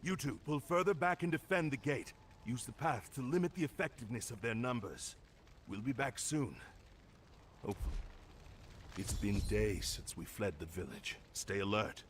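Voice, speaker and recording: An actor playing a grim, weary guard in a video game, speaking gravely and earnestly. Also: male voice, medium pitch, lively intonation, moderate pace, lightly acted. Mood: wary, urgent. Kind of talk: dramatic video game acting. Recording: faint background noise.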